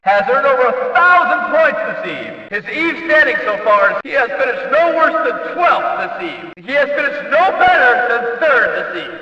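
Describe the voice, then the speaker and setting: A man playing an excitable, showy race announcer at a small-town demolition track in a video game, heard as if over a stadium PA. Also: extremely high pitch, heavily acted. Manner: showy, emphatic announcer delivery. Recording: echoing, distant, muffled PA-like sound.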